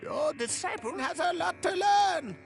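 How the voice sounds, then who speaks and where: High, creaky, mischievous old hermit. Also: female voice, expressive, unhurried, over music, full character voice. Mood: amused, dismissive. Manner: teasing, aloof, amused. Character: playful, smug, long-lived hermit sage, mischievous and teasing. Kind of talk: video game story cutscene acting.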